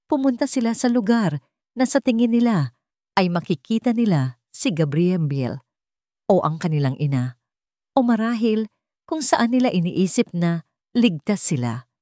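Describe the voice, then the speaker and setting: A woman's voice: lowish voice, warm tone, no echo, clean studio sound. A woman storyteller giving a scripted, dramatic narration of an emotional tale.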